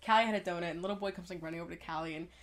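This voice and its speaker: A woman's voice: fairly low pitch, steady tone, very fast. Congested young Philly woman, chatty. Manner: chatty, laughs often, lots of "like". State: congested, dry throat. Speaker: podcast co-host. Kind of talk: casual chat.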